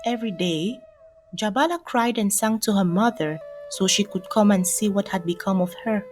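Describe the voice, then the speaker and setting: Lady storyteller reading a folktale, mid pitch, faint music behind. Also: normal speed. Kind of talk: scripted folktale narration.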